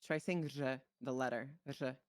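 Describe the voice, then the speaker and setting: Animated, informal man from Czechia, dry sound. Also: medium pitch, moderate pace, clear, resonant tone, dry recording. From Czech Republic. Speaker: streamer playing a dispatcher in online roleplay. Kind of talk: casual banter in game roleplay.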